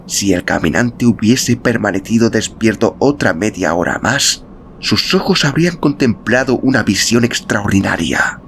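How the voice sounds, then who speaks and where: A man narrating a literary story as an audiobook, in a measured, dramatic, expressive storytelling manner. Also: lowish voice, creaky, a little hiss or hum. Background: music.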